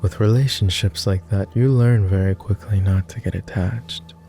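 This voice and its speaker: Guy playing a lonely gentle vampire boyfriend, really deep mellow voice, formal and halting, asmr roleplay. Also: steady tone, soft background music, natural voice. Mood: earnest, wistful. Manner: formal, old-fashioned wording with halting, hushed delivery. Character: clingy lonely male vampire, courtly, gentle, easily flustered. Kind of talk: intimate ASMR roleplay acting.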